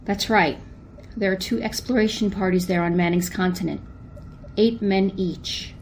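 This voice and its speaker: A woman playing a brisk, businesslike spaceship commander in an old-time style radio drama, clipped and authoritative. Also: medium pitch, steady tone, slight background noise, close to an everyday voice.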